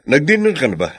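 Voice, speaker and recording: An actor playing a friendly, easygoing Filipino office boss in a radio drama, with a teasing manner. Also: male voice, fairly high pitch, fairly bright tone, slightly rough, full character voice. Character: friendly, joking married male office boss.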